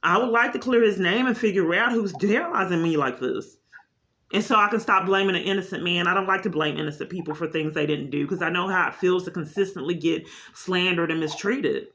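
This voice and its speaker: Insistent woman caller with a bright voice. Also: fairly low pitch, a little fast. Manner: insistent, long-winded, repeating herself. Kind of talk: unscripted phone complaint.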